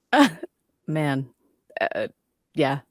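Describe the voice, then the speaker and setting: A woman's voice: low voice, big pitch swings, very slow, a little hiss or hum. A woman voice actor casually telling a personal story with a wry, self-amused manner. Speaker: voice actor, panel guest.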